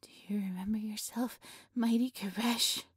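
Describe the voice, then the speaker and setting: A woman playing an obsessive, devoted female cult leader in a roleplay audio drama, with a breathy, reverent, theatrical delivery. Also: medium pitch, steady tone, normal speed, no room sound, studio quality. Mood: reverent awe. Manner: breathy, reverent, theatrical incantation with nervous stammers.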